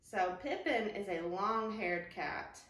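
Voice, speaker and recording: A woman in her thirties hosting a children's storytime, speaking in a gentle, sing-song, drawn-out way to young viewers. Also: mid-range voice, animated. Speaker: library storytime host. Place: living room, indoors, on a couch. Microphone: camera a few feet away. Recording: roomy echo from camera distance.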